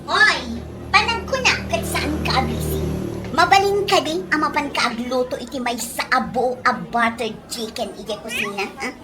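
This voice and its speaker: An actress playing a bossy young restaurant worker in a radio comedy drama, curt and commanding. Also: female voice, high-pitched, moderate pace, music in the background, natural voice. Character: bossy young female restaurant worker ordering others around.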